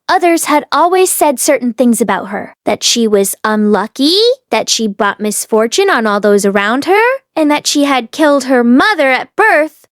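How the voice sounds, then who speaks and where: A woman narrator reading a story aloud in an expressive storytelling style. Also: high-pitched, dry recording, clean studio sound. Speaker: audiobook narrator.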